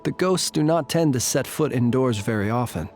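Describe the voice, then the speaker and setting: Game guardian: man playing a polite, formal keeper of the tombs, unhurried and precise, faint music underneath. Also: mid-range voice, expressive, a little mellow, close to an everyday voice. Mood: calm. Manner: formal, measured, old-fashioned phrasing. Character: courtly male graveyard guardian, calm and formal. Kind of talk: video game dialogue.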